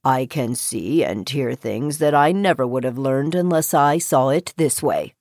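A woman voicing a calm, cheerful male talking frog with an unhurried manner in a children's story. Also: extremely low pitch, dry recording, clean studio sound, pitched below the performer's own voice. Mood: content.

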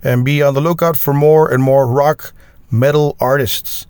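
A man's voice: medium pitch, steady tone, normal speed, a little mellow, smooth, dry recording. A man hosting a metal podcast interview, agreeable and chatty, with many short yeahs and wows. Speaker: podcast host. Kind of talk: casual interview and outro.

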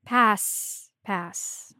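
A woman's voice: drawn-out words, pushed and bright, no room sound. A woman co-hosting a podcast in casual banter, with a teasing, dry sense of humor. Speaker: podcast co-host.

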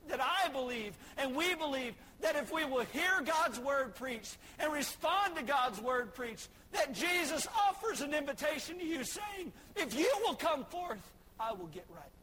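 A young man preaching a sermon, swinging from shouted exhortation to soft, folksy asides. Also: very high voice, big pitch swings, a little slow, rough recording. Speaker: pastor.

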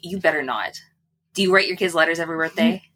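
A woman's voice: lowish voice, fast talker, pushed and bright, slight background noise. A woman co-hosting a podcast, casually recapping a book with wry, sarcastic asides. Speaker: podcast co-host.

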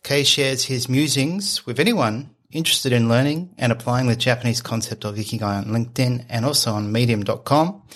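A man's voice: medium pitch, fairly bright tone. A man in his early fifties hosting a podcast interview in a conversational style. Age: early 50s. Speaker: podcast host.

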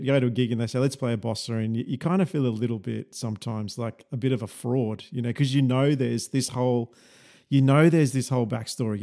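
A man runs the interview, backing the guests with lots of "yeah" and asking long, meandering questions. His voice sits mid-range and sounds warm and mellow. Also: mid-range voice. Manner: encouraging, with frequent "yeah" and restarted questions. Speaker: interviewer, musician.

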